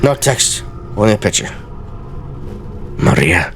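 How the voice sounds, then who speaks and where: A man's voice: fairly low pitch, big pitch swings, slow, fairly bright tone, faint music behind. A man hosting a video game let's play with short, casual commentary.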